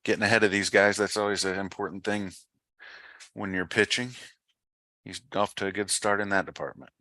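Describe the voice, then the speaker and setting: A man's voice: on the low side, steady tone, fairly quick, bright, forceful tone, no echo. A man giving live baseball play-by-play commentary in clipped, matter-of-fact phrases.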